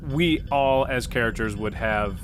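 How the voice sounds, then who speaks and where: A man playing a captain in a tabletop role-playing game, chatting casually in a halting, questioning way. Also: medium pitch, background noise. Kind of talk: casual game banter and discussion. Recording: music playing behind the voice. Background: music.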